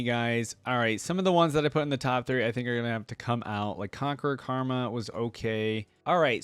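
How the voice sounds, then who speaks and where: Male reaction creator, mid-pitched voice, casual and conversational, thinking out loud, very dry recording. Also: dry recording. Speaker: video creator reacting to game lore and skins. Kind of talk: casual reaction commentary with some reading aloud.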